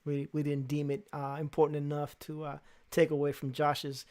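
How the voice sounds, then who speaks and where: A man's voice: on the high side, completely flat delivery, moderate pace, a little mellow. Joking man hosting a casual live stream. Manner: joking, teasing banter with frequent laughs; talks to chat and guests while they draw.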